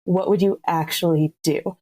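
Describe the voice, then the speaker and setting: A woman with a fairly low, very mellow voice speaks as an interview guest. She explains creative choices in an articulate, enthusiastic way. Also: steady tone, normal speed, husky. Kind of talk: thoughtful explanatory interview talk.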